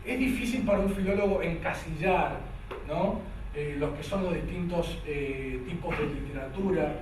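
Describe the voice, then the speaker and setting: A man's voice: fairly high pitch, moderate pace. A man with an Argentine Spanish accent giving a conference lecture, hesitant, with many fillers and restarts. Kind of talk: academic lecture with a read-aloud poem. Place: lecture hall. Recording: echoey hall from far off, faint noise.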